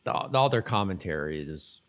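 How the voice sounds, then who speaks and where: A man co-hosting a podcast, chatting casually and haltingly as he recaps a TV episode. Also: low-pitched, animated. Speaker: podcast co-host.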